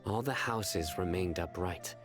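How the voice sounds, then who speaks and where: A man playing a stern, composed young investigator in a video game, speaking in a solemn, deliberate way. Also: low-pitched, over music. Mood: solemn storytelling. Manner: solemn, measured, deliberate storytelling. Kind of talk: video game character acting.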